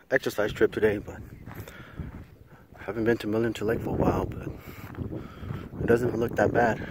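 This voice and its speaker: A man in his thirties talking casually to an action camera while fishing by a river and hiking a hill trail. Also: on the low side. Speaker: fishing vlogger. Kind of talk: casual outdoor vlog. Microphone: action camera, chest-mounted and held at arm's length. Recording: loud wind and water noise outdoors.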